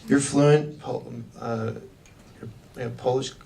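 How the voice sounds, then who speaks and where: A man acting as interviewer in a mock job interview, asking questions in a halting way and often restarting them. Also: mid-range voice, fairly even intonation, gravelly, mellow. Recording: distant mic with faint background noise.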